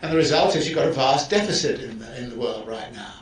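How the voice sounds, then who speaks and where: Professor lecturing in an echoey room. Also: male voice, mid-range voice, lively intonation. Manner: deliberate, explanatory, restarts phrases often. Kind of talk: lecture to students. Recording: echoey room, distant mic, faint hiss.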